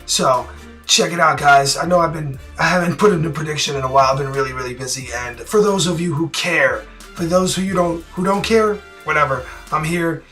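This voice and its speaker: A man with a raspy, hoarse voice, worn out from yelling, talking straight to camera in a blunt, rambling and opinionated way. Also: a little high, brisk, soft background music. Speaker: boxing prediction vlogger. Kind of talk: casual direct-to-camera talk.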